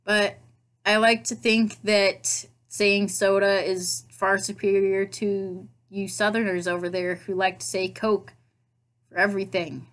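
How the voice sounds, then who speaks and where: A woman's voice: medium pitch, flat delivery, measured pace, a bit bright. Chatty young woman with a Nebraska accent. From Nebraska, USA. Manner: chatty, self-mocking, playful asides. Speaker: vlogger. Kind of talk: casual accent tag video. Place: bedroom at home, indoors. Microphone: laptop webcam.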